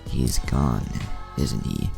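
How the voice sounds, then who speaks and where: A man voicing a concerned adult male ninja squad leader, steady, grave and questioning, in an anime fan story. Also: extremely low pitch, slow, gravelly, music in the background, full character voice. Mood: grave, subdued.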